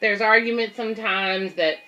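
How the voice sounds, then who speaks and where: A woman's voice: mid-range voice, steady tone, normal speed, sharp tone, lo-fi sound, soft background music. A woman hosting a children's read-aloud, reading a picture book and explaining it simply to young listeners.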